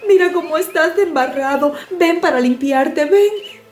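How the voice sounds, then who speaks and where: A woman's voice: high-pitched, normal speed, voice pushed up, lightly acted. A woman voicing a tender, distraught woodcutter's wife in a storybook, tearful and fussing over her children. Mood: overjoyed, fussing. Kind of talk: dramatized storybook acting. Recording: echoey, faint music behind.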